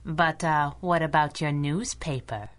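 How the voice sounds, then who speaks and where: A woman's voice: low-pitched, unhurried. A woman playing a cheeky, fearless, wisecracking superhero sidekick in a pulp radio drama, sassy and teasing. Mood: playful.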